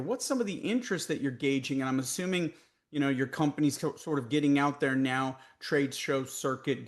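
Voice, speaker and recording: A man with a Texas accent hosting a podcast interview, asking long conversational questions. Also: medium pitch. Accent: Texan. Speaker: podcast host.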